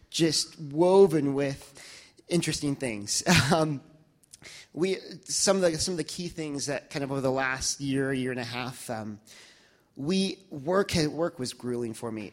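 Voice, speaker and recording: A young man speaking to his church congregation, reflective and halting, with many restarts and fillers. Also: medium pitch. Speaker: church member sharing reflections.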